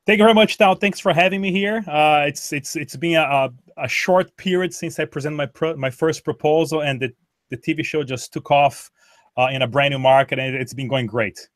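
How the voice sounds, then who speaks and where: A Brazilian-born man whose first language is Portuguese, speaking as a guest in an interview, halting with frequent restarts and repeated words. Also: on the high side, dry recording, clean studio sound. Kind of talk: conversational interview.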